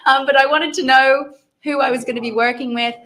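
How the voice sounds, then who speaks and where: A woman's voice: sits high, fairly even intonation, a little fast, a bit bright. A woman with an Australian accent, chatting casually on a convention panel, rambling a little and laughing often. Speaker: actor guest at a fan convention panel.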